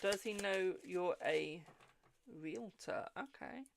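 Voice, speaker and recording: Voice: mid-range voice, slow pace, vocal fry, noisy background. A tarot reader from England with a posh-sounding English accent, hosting a live stream, chatting casually, swearing freely and calling viewers "my darling". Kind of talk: live-stream card reading and casual chat.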